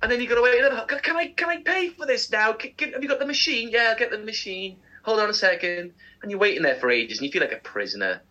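Animated man, bright but slightly husky. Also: sits high, quick pace, few pauses, muffled recording. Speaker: co-host presenting segments. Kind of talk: casual humorous anecdotes and rambling.

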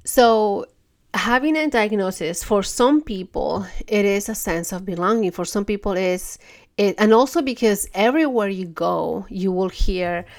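A woman hosting a podcast, talking earnestly and gently in a casual monologue, her voice stuffy from a cold. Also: medium pitch, unhurried. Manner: earnest, gentle, restarts often. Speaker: podcast host.

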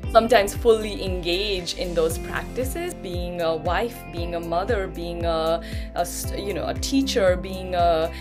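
A woman from Nepal, a professor and yoga teacher, talking thoughtfully as an interview guest and often pausing to search for words. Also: fairly low pitch, music in the background. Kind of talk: casual interview conversation.

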